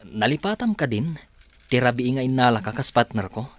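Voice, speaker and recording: A man's voice: mid-range voice, big pitch swings, fairly quick, dry recording, close to an everyday voice. A man acting as a young company boss in an Ilocano radio drama, with an Ilocano accent. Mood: apologetic, hesitant. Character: Daniel, young male company boss, boss's son. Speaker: radio drama actor and announcer. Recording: loud music behind the voice. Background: music.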